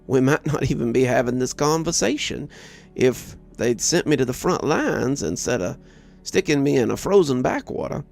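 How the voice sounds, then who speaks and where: A man reading a scripted first-person story aloud as narrator, in a measured, reflective way. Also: medium pitch, lively intonation, creaky, a little mellow, faint music behind.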